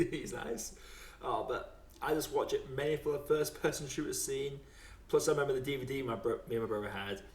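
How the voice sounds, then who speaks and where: A man's voice: mid-range voice, a little fast. Echoey, chatty young British man. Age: 20s. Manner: chatty, jokey, laughs often while talking. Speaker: podcast host. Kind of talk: casual film chat. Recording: echoey room.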